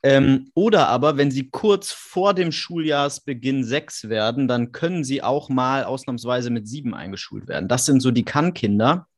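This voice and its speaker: A man's voice: mid-range voice, moderate pace, hardly any pauses. Chatty man hosting a video-call talk. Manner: chatty, curious. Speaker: podcast host. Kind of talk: casual interview.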